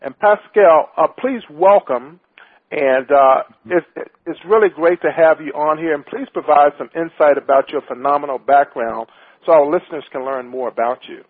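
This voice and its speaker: A man's voice: on the high side, normal speed, breathy edge, no echo. Upbeat man hosting a phone-in show. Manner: upbeat, emphatic, repeats himself. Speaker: radio show host. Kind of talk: hosting an internet radio talk show. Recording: tinny dry phone-line audio.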